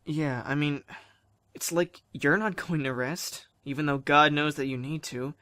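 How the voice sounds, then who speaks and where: A man's voice: a little high, steady tone, measured pace, sharp tone, no room sound. A man playing a determined, self-sacrificing teenage boy in a fan audio drama, earnest and casual, with weary concern.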